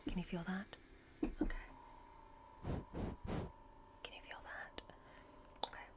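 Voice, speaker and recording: A woman whispering in a breathy, gentle and reassuring murmur as she performs a soft-spoken ASMR roleplay. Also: takes it slowly.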